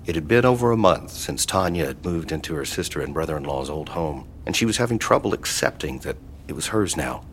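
A man narrating a scripted horror audio drama in a measured, solemn way. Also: rumbling low, expressive, slight background noise. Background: music.

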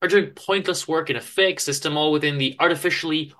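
A man giving a dramatic literary reading of a story, with theatrical, deliberate emphasis and pauses. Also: on the high side, fairly bright tone. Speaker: author reading own story aloud.

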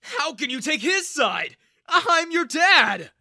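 A man voicing a sneering, hot-tempered middle-aged father who shouts and mocks in a storybook narration. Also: very high voice, big pitch swings, normal speed, no echo, clean studio sound, pitched above the performer's own voice, full character voice. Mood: furious. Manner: shouting, mocking.

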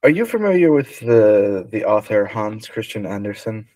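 A man with a slightly low voice runs a casual podcast quiz. He explains things in a measured, conversational way. The recording is dry, with no room sound. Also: sing-song, darkish tone, dry recording, poor audio. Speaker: podcast co-host, quizmaster.

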